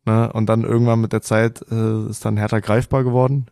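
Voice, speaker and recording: Relaxed bilingual man in his thirties. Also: lowish voice, a little fast, gravelly, no echo, clean studio sound. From Denmark and Germany. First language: Danish and German, raised bilingual. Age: 30s. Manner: loose, rambling storytelling with many fillers. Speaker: podcast guest. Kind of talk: casual podcast conversation.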